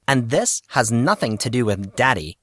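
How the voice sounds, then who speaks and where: A man's voice: mid-range voice, big pitch swings, normal speed, no echo, clean studio sound. A man voicing an irritable, sneering teenage rich girl with a snappy, dismissive manner in an audio story. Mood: grumbling.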